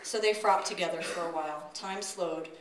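An echoey, distant recording of a woman reading a comic story aloud on stage. She narrates in a storybook manner at a medium pitch. Faint noise sits behind her in the open pavilion. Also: normal speed. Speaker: author reading own work aloud. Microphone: distant audience camera. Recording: echoey pavilion, distant noisy camera audio.

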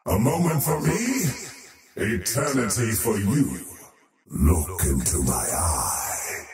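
A man's voice: deep voice, heavy reverb, distant mic, heavily acted. A man voicing a faceless otherworldly oathbreaker for a video game, menacing and arrogant, with a grandiose, taunting, theatrical delivery. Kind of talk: video game character voice lines.

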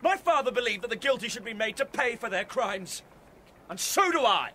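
A defiant son making a declaration in a radio play. The voice is very high and forceful, with faint noise of a street scene behind. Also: male voice, very animated, normal speed, heavily acted. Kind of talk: declamatory radio drama acting.

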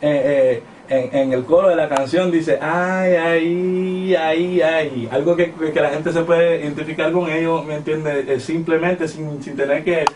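A man's voice: on the high side, level tone, unhurried, few pauses, a little mellow, a little hiss or hum. A man from Honduras, a music artist talking casually in a radio interview, hesitant with many fillers and restarts. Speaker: reggaeton artist guest. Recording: distant mic with music playing behind.

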